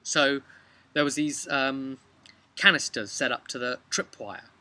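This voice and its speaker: A man's voice: medium pitch, a little slow, pushed and bright. A British man in his thirties casually recounting a tabletop game session in a rambling, conversational way, recorded outdoors. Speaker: vlog host and game master. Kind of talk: casual recap of a tabletop role-playing session. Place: outdoors on a bench by a brick house. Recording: outdoor recording with clear background noise.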